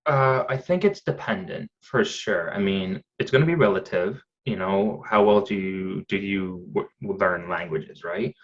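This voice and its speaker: A man in his thirties talks in a loose, rambling way, full of ums and restarts. His voice sits very low. The audio is dull and lo-fi. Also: measured pace, few pauses. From Canada. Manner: chatty, rambling, many fillers and restarts. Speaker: podcast guest. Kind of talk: casual conversation.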